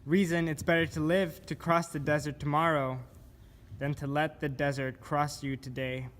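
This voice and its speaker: A man giving a scripted spoken-word reading of poetic survival instructions in a measured, deliberate manner. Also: on the high side, flat delivery, fairly bright tone. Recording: clear background noise.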